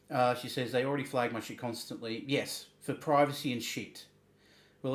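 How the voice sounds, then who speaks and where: A man hosting a livestream, reading messages and commenting in a halting, emphatic way, repeating words. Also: medium pitch. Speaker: livestream host. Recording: roomy, echoing sound.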